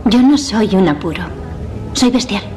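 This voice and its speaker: An actress from Spain playing a defiant, sarcastic teenage daughter in a dubbed TV drama. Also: female voice, mid-range voice, animated, measured pace, mellow, soft background music, natural voice. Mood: defiant provocation. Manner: sarcastic, provocative. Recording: noisy clip from a TV show.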